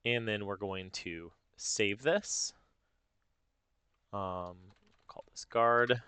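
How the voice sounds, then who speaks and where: A man narrating a casual software tutorial step by step, informally thinking aloud as he goes. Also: fairly low pitch, clear, resonant tone, dry recording. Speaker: tutorial host.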